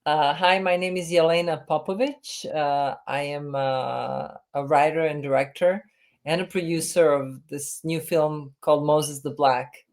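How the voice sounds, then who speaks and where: Low-voiced woman in her fifties. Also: fairly even intonation, unhurried, a little mellow. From Serbia. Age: 50s. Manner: hesitant, many fillers and restarts. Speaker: film director and writer, guest. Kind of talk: remote interview, reflective storytelling.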